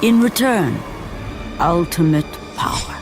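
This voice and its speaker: A woman playing a grave, knowing storyteller, narrating a video game myth in a measured, portentous manner. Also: lowish voice, animated, over music. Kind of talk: dramatic scripted game narration.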